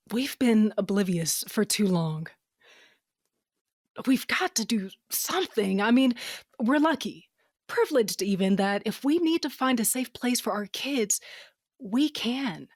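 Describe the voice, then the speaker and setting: Unhurried, earnest mother. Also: medium pitch, lively intonation, dry recording, studio quality. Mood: earnest, urgent. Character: worried, caring mother held in a fantasy jail. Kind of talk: naturalistic audio drama acting.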